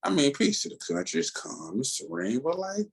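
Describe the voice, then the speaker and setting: A man's voice: medium pitch, huge pitch swings, moderate pace, a little hiss or hum. A man with a Southern US accent chatting casually as a comics podcast co-host, telling stories colloquially and acting out quoted dialogue. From Tennessee, rural southern United States. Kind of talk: casual animated chat.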